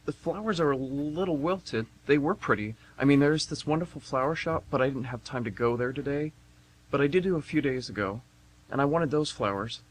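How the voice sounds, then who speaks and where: Casting: shy, apologetic young suitor for a radio play, acted by a man, husky, mid-pitched, earnest and stumbling. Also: brisk, natural voice, low-quality recording. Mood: nervous, eager. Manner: stammering, rambling, apologetic. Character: nervous, awkward, sweetly earnest young man on a date. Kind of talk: amateur radio theatre acting.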